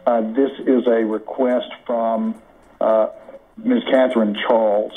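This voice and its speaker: An American man giving a formal committee report in a halting, deliberate way over a conference call. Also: mid-range voice, little pitch movement. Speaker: committee member presenting recommendations. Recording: muffled conference call audio.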